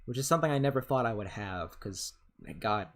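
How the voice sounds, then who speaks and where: A man's voice: lively intonation, a little fast. A young man in his twenties, rambling casually with some swearing, chatting over a game as a YouTuber answering questions. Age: 20s. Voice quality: voice has grown deeper. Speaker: gaming YouTuber. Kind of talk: casual gameplay commentary and Q&A.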